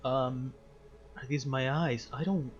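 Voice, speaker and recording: A man's voice: mid-range voice, steady tone, slow pace, muffled recording, slight background noise. A man giving casual game commentary, musing and hesitating as he thinks aloud. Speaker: gamer playing and reacting.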